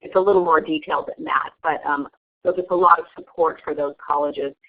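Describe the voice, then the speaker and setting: A woman's voice: sits low, steady tone, brisk. A woman hosting an online meeting, presenting with frequent fillers and restarts. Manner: hesitant, many fillers. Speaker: webinar host. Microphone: web conference audio. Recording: tinny phone-like line.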